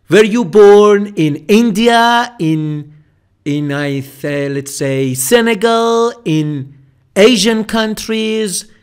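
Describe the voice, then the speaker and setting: Man, English teacher, slow careful delivery, repeats each phrase, high voice. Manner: repeats phrases slowly, lively up-and-down intonation. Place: voice-over for slide video.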